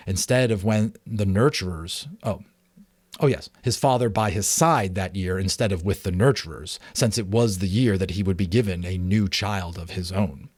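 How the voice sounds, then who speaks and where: A man's voice: on the low side, no echo, clean studio sound. A man reading a story aloud in an informal, dramatic way, sometimes stumbling and correcting himself. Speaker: amateur audiobook reader.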